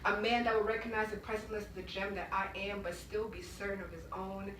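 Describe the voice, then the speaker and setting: A woman's voice: mid-range voice, level tone, fast talker, a little hiss or hum. Woman from Rwanda, echoey distant room. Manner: emphatic, rhythmic delivery with sudden loud bursts. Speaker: spoken-word poet. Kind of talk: live spoken-word poetry performance. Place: small indoor art gallery. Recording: echoey gallery room, mic far off.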